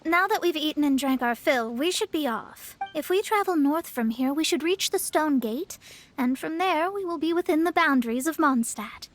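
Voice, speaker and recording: A woman's voice: high-pitched, animated, normal speed, a little hiss or hum. A young woman voicing a proud female astrologist who speaks in formal, lofty words with a haughty air.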